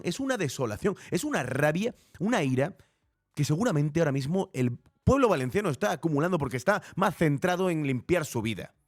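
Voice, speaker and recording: A man's voice: on the high side, no echo, clean studio sound. A man with a Castilian Spanish accent giving informal political reaction commentary, indignant and emphatic, repeating phrases for effect. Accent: Castilian Spanish from Spain. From Spain.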